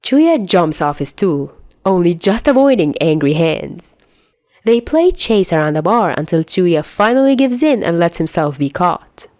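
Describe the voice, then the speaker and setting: Unhurried, expressive woman narrator, lowish voice. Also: no echo, studio quality. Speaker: podfic narrator. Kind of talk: expressive fan fiction narration.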